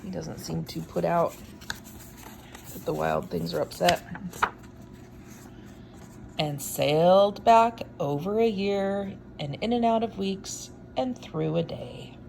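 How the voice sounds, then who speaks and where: A woman reading a picture book aloud in an expressive, unhurried way, with wry, casual asides. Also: fairly low pitch, creaky, background noise.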